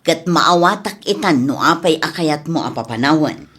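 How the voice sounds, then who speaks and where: A composed married lady of the house acted by a woman in an audio drama. Her voice is lowish and husky, and she speaks with measured, firm authority. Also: lively intonation, a bit bright, full character voice. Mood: composed, resolved. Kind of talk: domestic audio drama acting.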